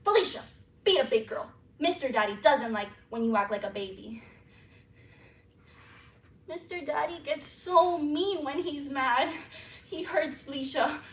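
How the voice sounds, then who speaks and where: A scared little kid voice, high and squeaky, baby talk, giggly and teary. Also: female voice, unhurried, pauses often, slight background noise. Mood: childlike. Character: frightened little girl persona named Alicia. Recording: echoey room, mic far off.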